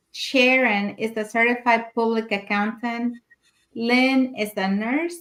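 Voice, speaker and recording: Higher-voiced Mexican-born woman co-host. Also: a bit bright.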